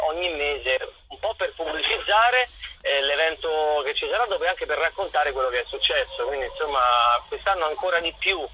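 A man's voice: fairly high pitch, sharp tone, breathy edge, low-quality recording. A man chatting casually as a theatre performer in a radio interview, often repeating words and restarting phrases over a phone-style line. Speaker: theatre performer interviewed about his show.

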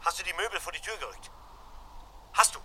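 An actor playing a tense, impatient husband giving urgent, clipped instructions in a German radio crime play. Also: male voice, sits high, lively intonation, brisk, heavy background noise. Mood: strained, controlling.

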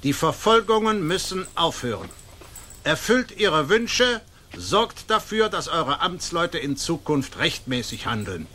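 A man's voice: fairly high pitch, very animated, a little slow, pushed and bright, slight background noise, heavily acted. An actor playing a stern, honourable and influential nobleman, emphatic and declamatory in a German radio drama. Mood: resolute.

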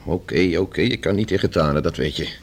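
An actor playing a proud, touchy engineer fiancé who is curt and sullen, in a radio drama. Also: male voice, deep voice, fast, slight background noise. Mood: resigned.

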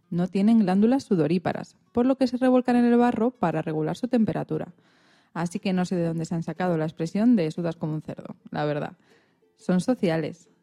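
A woman's voice: fairly low pitch, dark tone. A woman co-presenting a radio program, reading informational narration.

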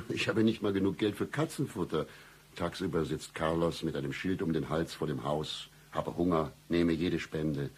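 Very deep-voiced seedy detective. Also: male voice, normal speed, breathy edge. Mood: deadpan, wry. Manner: florid, melodramatic seductive patter with deadpan humour. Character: seedy broke male private detective, absurdly flirtatious. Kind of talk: satirical radio play dialogue.

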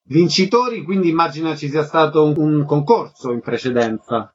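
Higher-voiced man hosting radio, dull sound. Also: normal speed, slightly rough. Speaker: radio interviewer and host. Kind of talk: concise radio interview questioning.

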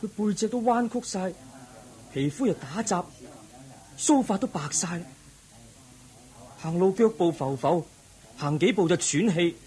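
A man's voice: high-pitched, animated, warm tone. A man acting an earnest, troubled young prince in a Cantonese radio drama, full of wondering questions. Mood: puzzled, curious. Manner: inquisitive, wondering, drawn-out questioning.

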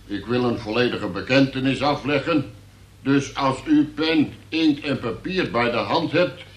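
An actor playing a dutiful police sergeant who formally and haltingly reads out a report in a radio play. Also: male voice, medium pitch, close to an everyday voice. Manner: formal, officious, halting delivery of official report. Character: dutiful police sergeant reading out a suspect's confession. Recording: roomy, echoing radio play recording.

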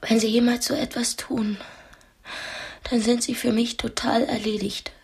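An actress playing a proud, cultured young captive in a radio play, with a breathy, often whispery voice and an intense, articulate manner. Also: female voice, fairly high pitch, level tone, a little slow, a little mellow, exaggerated voice. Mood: hushed, grave. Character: proud, cultured young female art student held captive. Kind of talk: radio drama dialogue and monologue.

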